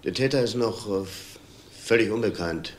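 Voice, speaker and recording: An actor playing a middle-aged widowed husband in a crime drama, measured, halting and evasive. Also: male voice, on the low side, natural voice. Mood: subdued, hesitant. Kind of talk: German television crime drama acting.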